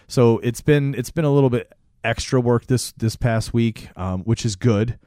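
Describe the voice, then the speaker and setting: A man's voice: medium pitch, normal speed, dark tone, no room sound, clean studio sound. A man co-hosting a podcast in casual, sweary, bro-ish chat. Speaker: podcast co-host. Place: small podcast studio.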